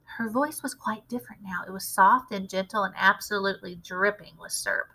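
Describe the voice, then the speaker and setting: A woman reading a children's story aloud as an expressive storyteller. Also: mid-range voice, brisk, breathy edge, rough recording. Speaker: read-aloud storyteller, teacher.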